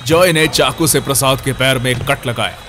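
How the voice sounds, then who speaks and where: A man's voice: mid-range voice, moderate pace, background noise. A man narrating a scripted horror story for an audio drama.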